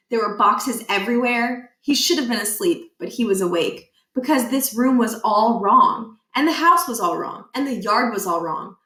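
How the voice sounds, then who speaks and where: A woman reads a children's story aloud in a very bright, medium-pitched voice. She gives key words deliberate emphasis with a playful deadpan touch. The room around her is clearly echoey. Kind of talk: expressive children's book read-aloud.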